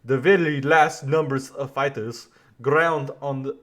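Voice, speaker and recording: Give me a man putting on a bad French accent, slow and mock-serious. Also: mid-range voice, fairly even intonation, a bit bright, pitched below the performer's own voice, lightly acted. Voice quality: poor put-on French accent. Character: stiff, earnest European male leader, mock.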